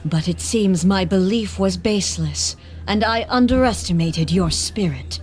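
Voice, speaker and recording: A woman playing a solemn, dignified warrior deity in scripted video game dialogue, formal and measured. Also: medium pitch, a little mellow, natural voice. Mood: solemn, resolute.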